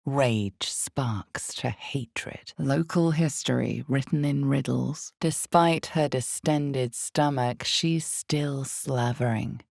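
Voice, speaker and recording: An actress playing the grave, ominous narrator of a dark fantasy video game, speaking in a measured, theatrical way with a deep, gravelly voice. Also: female voice, no room sound, clean studio sound, heavily acted. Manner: measured, deliberate, brooding, theatrical. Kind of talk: dramatic scripted second-person fantasy narration.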